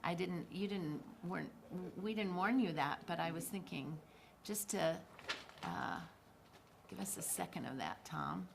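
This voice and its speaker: A woman hosting a webcast on a video call, encouraging and quick to chime in. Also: on the low side, creaky. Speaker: host. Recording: noisy call line.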